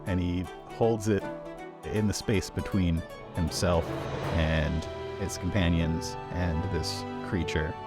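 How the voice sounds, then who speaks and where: A man's voice: low-pitched, steady tone, takes it slowly, loud music behind the voice. A man playing a tabletop roleplaying game, casually chatting and describing what his character does.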